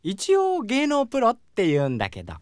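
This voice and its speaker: Breezy judge, hugely animated pitch. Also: female voice, fairly quick, over music. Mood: coaxing. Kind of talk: Japanese comedic audio drama acting.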